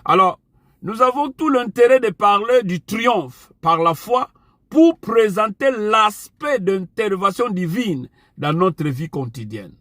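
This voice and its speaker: Man, Portuguese speaker, preacher, deliberate repeated refrains, dry close sound. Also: high-pitched, expressive, fairly bright tone, no room sound. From Angola. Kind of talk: online sermon.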